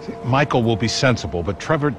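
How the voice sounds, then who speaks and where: An actor playing a cool, matter-of-fact middle-aged government agent in a video game. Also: male voice, medium pitch, lively intonation, fast, noisy background, natural voice. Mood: coolly menacing. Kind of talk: video game cutscene acting.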